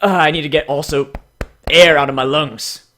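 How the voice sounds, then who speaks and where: A man hosting casual, mocking commentary and dramatic readings, crude, exclamatory and quick to swear. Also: on the high side, steady tone, a bit bright. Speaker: host reading fan characters aloud.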